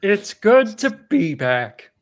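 A cuddly but sinister alien sidekick for a comedy table read. The voice is high and swoops wildly. It drags its words very slowly and playfully. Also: male voice, very animated, full character voice. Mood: cuddly, smug. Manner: swooping, drawn-out, playful delivery.

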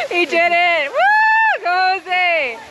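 A woman hosting a family vlog, chatting casually to the camera at an outdoor park and cheering loudly from a distance. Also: very high voice, huge pitch swings, extremely slow. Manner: upbeat, addresses viewers as "guys". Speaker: family vlogger host. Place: outdoor theme park and expo grounds. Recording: loud outdoor noise, vehicles and splashing water.

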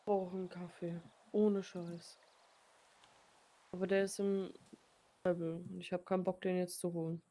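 A woman's voice: on the low side, little pitch movement, normal speed, long pauses, dark tone. A woman in her thirties chatting casually in a game roleplay, swearing lightly and laughing often. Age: 30s.

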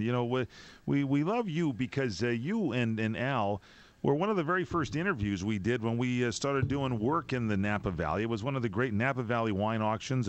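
Guy hosting talk radio, studio mic, lowish voice, super effusive, rambles a bit. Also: no room sound. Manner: enthusiastic, effusive, rambling questions. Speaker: radio talk show host. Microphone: studio mic with headphones.

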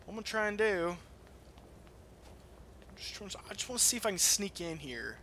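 A man giving casual let's-play game commentary in an excitable, foul-mouthed manner. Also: high voice, a little fast, a little hiss or hum. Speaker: gamer playing and commentating.

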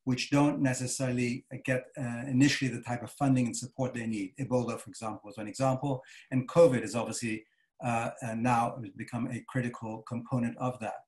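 An older man speaks from a study at home over a video call, laying out a financial mechanism in a measured, deliberate way. His pitch sits in the middle range, and he often repeats short words while he builds his argument. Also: level tone, a little mellow. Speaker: chair of a development finance board, interviewee. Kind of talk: measured expert explanation.

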